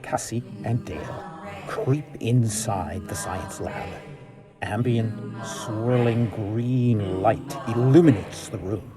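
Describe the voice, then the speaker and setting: A man's voice: fairly low pitch, takes it slowly, over music. A man narrating a radio play as scripted drama, in a theatrical manner.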